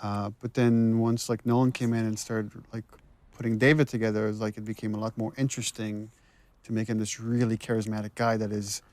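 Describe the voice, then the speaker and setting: A man's voice: fairly low pitch, little pitch movement, a little fast, a little mellow, dry recording. A man who wrote and directed the game, explaining story decisions in a thoughtful commentary chat with frequent fillers.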